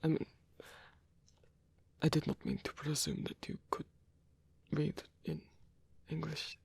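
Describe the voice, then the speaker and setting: A man playing a lonely, tender old vampire with a German accent in an ASMR roleplay, speaking softly and haltingly with formal phrasing. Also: on the high side, level tone, dry recording, full character voice. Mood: earnest, awkward. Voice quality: breathy, husky. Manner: hesitant, halting, formal phrasing, soft-spoken.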